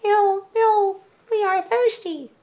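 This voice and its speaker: Vain, insistent storybook cats, played by a woman, high-pitched and clamoring. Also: fairly even intonation, slow, mellow, exaggerated voice. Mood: pleading. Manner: insistent, mewing exclamations. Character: hordes of small, clamoring, quarrelsome vain cats. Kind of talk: storybook character voices.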